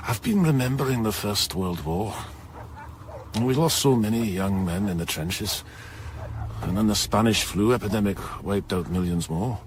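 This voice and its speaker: An actor playing an older, steady, dryly reassuring male leader in a radio drama. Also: lowish voice, normal speed, slight background noise, close to an everyday voice.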